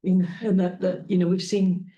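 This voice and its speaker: A flat-toned woman moderating questions. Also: lowish voice, moderate pace, echoey room, muffled recording. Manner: gentle, hesitant moderator. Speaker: session chair.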